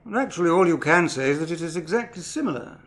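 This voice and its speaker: An exacting trial judge in a radio drama. His voice is a little high, and he speaks with stern, formal authority. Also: lively intonation, fast. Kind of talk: British radio drama acting.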